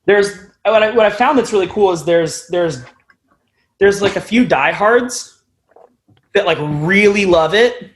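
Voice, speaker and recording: A young man with a fairly high voice speaks as a musician guest in an interview. He talks informally and loosely, circling around his thoughts and swearing casually. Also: measured pace. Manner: rambling, informal, casually profane. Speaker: band frontman, podcast guest.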